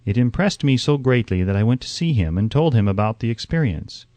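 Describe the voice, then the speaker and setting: Man narrating an audiobook with lively intonation. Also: mid-range voice, brisk, no echo.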